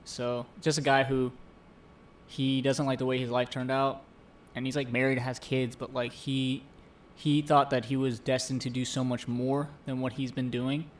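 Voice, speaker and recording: A man's voice: medium pitch, little pitch movement, moderate pace, a little hiss or hum. A man co-hosting a live-streamed chat show, earnestly retelling a film plot in a casual way with many fillers. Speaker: co-host. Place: home streaming setup.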